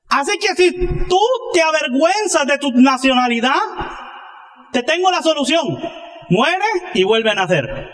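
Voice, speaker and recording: A man's voice: very high-pitched, a bit bright. A male pastor preaching a sermon to a congregation, emphatic and driven by rhetorical questions and answers.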